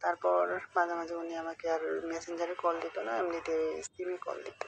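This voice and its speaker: Flat, creaky-voiced Bangladeshi woman. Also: fairly low pitch, moderate pace. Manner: rambling, often checking the listener follows. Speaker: caller telling a friend a personal story. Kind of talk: casual confiding phone chat. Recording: phone call recording, faint noise.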